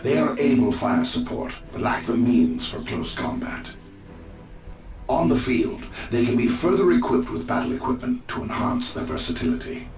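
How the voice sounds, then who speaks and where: A man voicing a grave, analytical alien elf warrior in a video game, formal and measured. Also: mid-range voice, sing-song, darkish tone, reverberant, far from the mic, faint music behind, natural voice. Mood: instructive.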